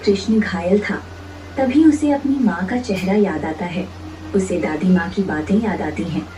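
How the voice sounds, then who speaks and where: A woman's voice: fairly low pitch, a little slow, far from the mic, background noise. A woman narrating a moral story in a scripted storytelling style.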